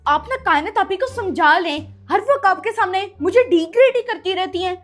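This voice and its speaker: A mischievous schoolboy son, acted by a woman in a family comedy skit. The voice is extremely high, cheeky and bossy, with faint music behind it. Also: lively intonation, normal speed, a bit bright. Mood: defensive. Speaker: actor in a comic skit. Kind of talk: comic family skit acting.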